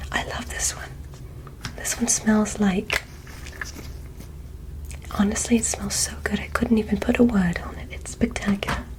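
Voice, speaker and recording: A woman doing an ASMR roleplay as an examiner, whispering breathily with gentle, careful, encouraging instructions. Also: mid-range voice, low-quality recording. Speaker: ASMR performer role-playing an alien medical examiner.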